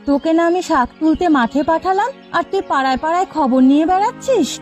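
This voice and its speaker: Woman acting a high-voiced, nosy village housewife. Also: animated, fast talker, a little mellow, dull audio, soft background music. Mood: scolding. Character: nosy village housewife, a sharp-tongued mother. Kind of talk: animated folk tale cartoon acting.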